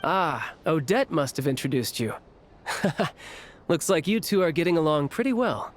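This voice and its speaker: Suave, sly villainous officer. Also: male voice, on the high side, animated, unhurried, a little hiss or hum. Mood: amused, genial. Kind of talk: scripted game story dialogue.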